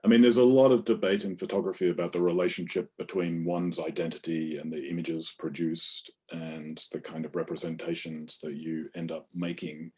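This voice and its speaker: A man interviewing a guest, asking careful, measured questions. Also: low-pitched, flat delivery, darkish tone, slightly rough. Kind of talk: interview conversation.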